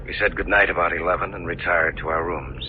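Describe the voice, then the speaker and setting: An actor playing an uneasy, haunted man narrating an old-time radio mystery in a brooding, hesitant, deliberate way. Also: low voice, fairly even intonation, lightly acted. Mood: brooding, eerie. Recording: noisy muffled old radio recording.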